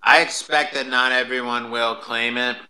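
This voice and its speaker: A man's voice: mid-range voice, steady tone, normal speed, bright, forceful tone. A man hosting a casual live chat in an informal way. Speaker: host.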